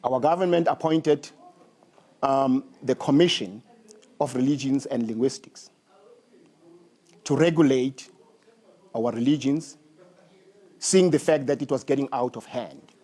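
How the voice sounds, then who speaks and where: Husky, mellow man hosting. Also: mid-range voice. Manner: slow, emphatic delivery with Zulu phrases. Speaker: talk show host. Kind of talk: studio interview.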